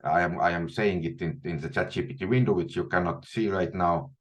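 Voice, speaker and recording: A very deep-voiced man with an Estonian accent leads a live online coding lesson from a small room at home. He thinks aloud as he works, restarting and repeating words often. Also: a little fast. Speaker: instructor.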